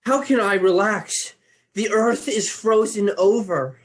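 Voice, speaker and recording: A man playing a fretful messenger god, slow and high. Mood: urgent. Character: anxious male messenger god.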